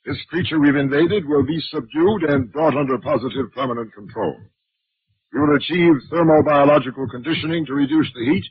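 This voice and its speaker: Ruthless military commander, alien, very raspy, barking orders, vintage radio drama with muffled sound. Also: male voice, on the high side, a little fast, no room sound. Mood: stern, commanding. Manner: curt, commanding. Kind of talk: 1950s radio drama acting. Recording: dull 1950s radio recording.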